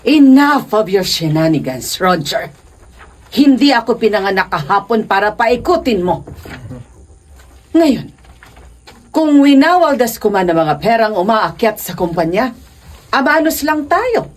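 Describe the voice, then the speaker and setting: An actress playing a scornful, sharp-tongued wealthy wife in a radio drama, sarcastic and haughty. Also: female voice, fairly high pitch, very animated, unhurried, pauses often. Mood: indignant.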